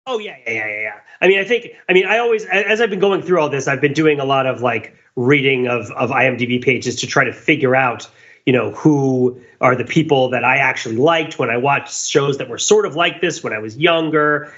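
A man's voice: mid-range voice, brisk, fairly bright tone, muffled recording. A man talking through ideas on a podcast in a casual, analytical way, digressive and emphatic. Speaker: podcast host.

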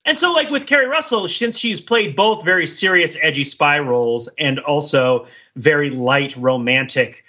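A man's voice: on the high side, animated, normal speed, few pauses, bright, forceful tone, muffled recording. A man co-hosting a podcast, chatting casually and analytically in long, discursive, layered arguments. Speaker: podcast co-host. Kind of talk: casual analytical panel chat about TV.

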